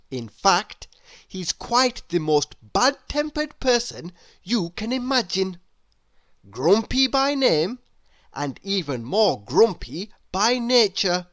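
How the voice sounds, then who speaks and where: A man reading a children's story aloud with dramatic, deliberate, expressive narration. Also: high voice, dry recording, studio quality. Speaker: storybook reader.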